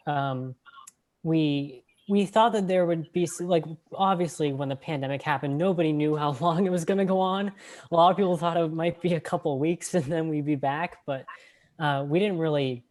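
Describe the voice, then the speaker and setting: Hesitant teenage film director. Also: male voice, a little high, a little mellow. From Canada. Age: late teens. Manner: hesitant, filler-heavy. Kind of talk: casual interview answers.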